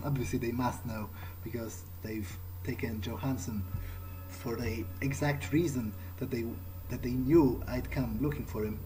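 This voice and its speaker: A man playing a cocky, sardonic vampire who thinks aloud haltingly in a video game playthrough. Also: mid-range voice, fairly even intonation, mellow, close to an everyday voice, poor audio. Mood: musing. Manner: musing, halting, muttered asides. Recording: clear background noise, game ambience.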